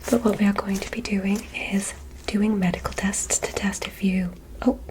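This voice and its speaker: A woman playing a polite, curious female alien medical examiner in an ASMR roleplay, in a soft breathy whisper with formal, stilted phrasing. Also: mid-range voice, flat delivery, close to an everyday voice, poor audio. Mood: courteous, curious. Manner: formal, oddly stilted, deliberate, reassuring.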